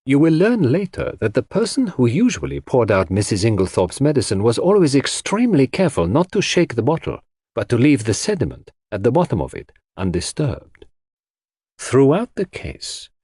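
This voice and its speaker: A man voicing a self-assured detective who presents his deductions with impressive, deliberate flourish in an audiobook. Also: mid-range voice, a little mellow, breathy edge, dry recording, studio quality, voice pushed up. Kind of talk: audiobook character dialogue.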